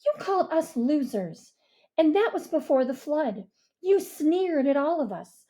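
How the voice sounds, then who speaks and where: A fierce, righteous teenage girl stands up to a bully in this storybook reading, as a woman acts her out. Her voice sits high and is pushed, yet keeps a soft, mellow tone. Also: sing-song, a little slow. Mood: accusing. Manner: accusing, intense.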